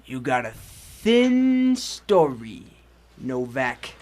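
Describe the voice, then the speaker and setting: An actor playing a tough, sarcastic, needling police detective with a menacing edge in a 1940s radio drama. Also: male voice, medium pitch, very animated, extremely slow, darkish tone. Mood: skeptical, needling. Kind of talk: 1940s radio noir acting.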